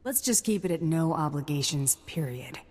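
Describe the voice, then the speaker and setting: A woman's voice: low-pitched, expressive, moderate pace, a little mellow. A woman voicing a tough, sardonic mercenary in a video game, with a dry, curt delivery. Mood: mocking. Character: tough, sardonic female bounty hunter, mercenary and flippant.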